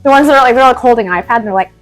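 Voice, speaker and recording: A woman's voice: sits high, rough recording. Chatty young woman with a dry cough. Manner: chatty, rambling, filler-heavy with lots of "like". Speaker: DIY vlogger and host. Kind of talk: casual chat and talk-through of a DIY task. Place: home indoors.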